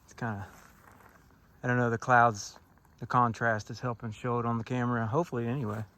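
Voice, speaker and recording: A man from Florida, vlogging casually while hiking, out of breath and a little hoarse, recorded on a handheld camera outdoors. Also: medium pitch, steady tone, fairly quick, a bit bright. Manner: breathing hard, winded while hiking uphill. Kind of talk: casual vlog narration to camera. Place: outdoors on a forest hiking trail.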